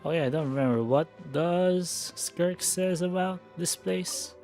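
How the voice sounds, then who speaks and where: A male game streamer with a voice pitched a little above most men's. He talks casually, musing aloud to himself as he plays, with faint music running underneath. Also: measured pace, darkish tone. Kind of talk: casual livestream gameplay chat.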